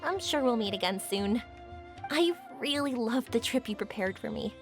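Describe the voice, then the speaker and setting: Bubbly young female fireworks maker for a game, played by an actress: expressive, swooping high delivery over music. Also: moderate pace, lightly acted. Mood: affectionate. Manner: exuberant, expressive. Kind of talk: animated video game voice acting.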